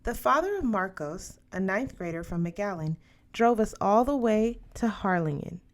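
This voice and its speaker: A woman's voice: medium pitch, lively intonation, moderate pace. A woman reading a novel aloud as a scripted narration for young listeners. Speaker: audiobook reader.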